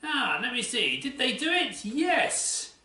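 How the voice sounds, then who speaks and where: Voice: mid-range voice, very animated, slow pace, pushed and bright, heavily acted. A presenter voicing a zany, eager know-it-all science doctor in a children's church show, talking in a theatrical sing-song. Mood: cheery praising. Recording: roomy sound from a distant mic.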